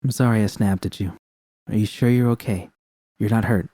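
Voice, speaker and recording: A man's voice: fairly low pitch, steady tone, warm tone, dry recording, close to an everyday voice. A man reading aloud, voicing a tough, short-tempered woman mercenary who talks curtly and swears. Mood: gentler. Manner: curt and swearing, quick.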